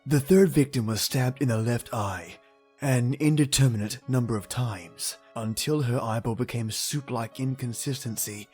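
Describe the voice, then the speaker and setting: A man playing a grave investigator in a game dub, narrating a grisly case in a measured, formal, brooding way with a husky voice. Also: mid-range voice, darkish tone, faint music behind, natural voice.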